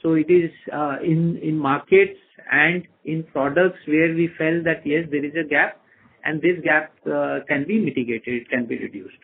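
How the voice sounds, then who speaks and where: An Indian-accented male executive with a husky, slightly high voice speaks over a narrow phone line. He answers questions on an earnings call, pausing and repeating phrases as he explains. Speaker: company executive answering analysts.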